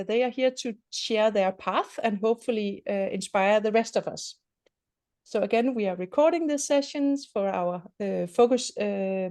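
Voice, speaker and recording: A woman's voice: mid-range voice, a little mellow, no room sound, clean studio sound. A woman host introducing a guest speaker over a video call, with frequent hesitations. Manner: hesitant, many fillers.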